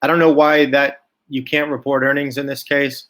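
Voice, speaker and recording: A man's voice: mid-range voice, little pitch movement, normal speed, fairly bright tone. A man giving informal solo stock market commentary, thinking aloud from notes in a blunt, skeptical, opinionated way.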